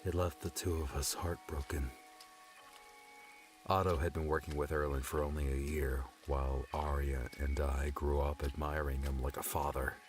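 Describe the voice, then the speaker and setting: Casting: haunted, tender young adventurer played by a male narrator, extremely deep voice, reflective and halting, music underneath. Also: little pitch movement. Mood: grieving.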